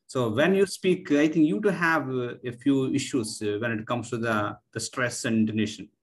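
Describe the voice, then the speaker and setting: A man's voice: mid-range voice, moderate pace. A man from India with a thick Indian accent, hosting and asking questions hesitantly with many fillers. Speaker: host, teacher. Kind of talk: video call interview.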